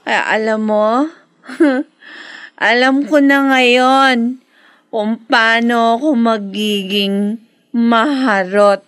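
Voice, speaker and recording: A young Filipino woman playing a naive, devoted daughter in a radio drama, plaintive, halting and emotional. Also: fairly high pitch, fairly even intonation, a bit bright, clean tone, dry recording. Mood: drunk, giddy. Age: young adult.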